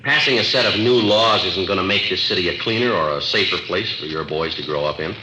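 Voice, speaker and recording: A man acting a calm, no-nonsense police detective with an American accent, matter-of-fact and deliberate, in 1950s radio drama style. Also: sits low, a little hiss or hum. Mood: firm, matter-of-fact. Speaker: police sergeant and narrator. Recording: dull old radio recording, roomy, music behind.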